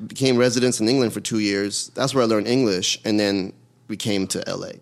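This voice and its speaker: A man's voice: lowish voice, steady tone, fairly quick, gravelly. Chatty man telling his own story. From Iran. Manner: rambling, self-deprecating storyteller. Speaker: podcast guest. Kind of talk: casual chat.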